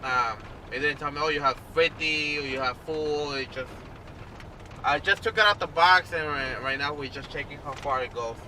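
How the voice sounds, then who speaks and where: A man talking casually in an unscripted vlog as he reviews gear, in a rambling, halting way. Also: on the high side, bright, forceful tone. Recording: clear background noise, vehicle sounds.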